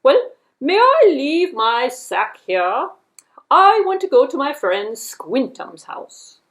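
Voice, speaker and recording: A woman performs a sly puppet-show fox, a male trickster with courtly manners and a firm will. He speaks formally and emphatically, drawing out his orders slowly, with big swings in pitch. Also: sing-song, a bit bright, heavily acted. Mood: polite, firm.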